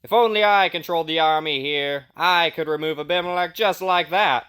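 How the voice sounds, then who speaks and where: A man playing a boastful, excitable rebel leader in an audio Bible drama, loud and theatrical. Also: fairly high pitch, normal speed, clear, resonant tone.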